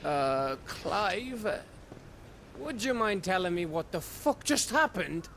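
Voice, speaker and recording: High-pitched, gravelly, rough adventurer. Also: male voice, takes it slowly, a little hiss or hum, exaggerated voice. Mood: exasperated, urging calm. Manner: sweary, colloquial, expressive. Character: rough, foul-mouthed, wary male fantasy adventurer companion. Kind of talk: video game cutscene acting.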